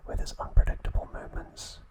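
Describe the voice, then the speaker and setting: A man narrates in a full, breathy whisper, with no voiced tone. He speaks as an ASMR host giving a recap. His delivery is slow and measured, one phrase at a time. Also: rough recording.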